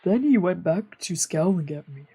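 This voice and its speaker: A woman's voice: lowish voice, animated, moderate pace, dark tone, slightly rough. A woman streamer reading scripted visual novel narration aloud.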